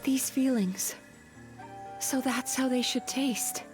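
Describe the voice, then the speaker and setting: A gentle young female therapist from a fantasy video game speaks in a high voice. She is earnest and measured, with soft music behind her. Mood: tender wonder. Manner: thoughtful, measured, sincere. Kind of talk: scripted video game voice acting.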